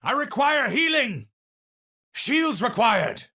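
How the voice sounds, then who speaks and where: An actor playing an eccentric, unstable scientist in a video game, speaking in a theatrical, formal and halting way. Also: male voice, very high voice, sing-song, bright, forceful tone, heavily acted. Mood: urgent, commanding. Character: eccentric, unstable male scientist awed by the universe. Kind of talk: video game character voice lines.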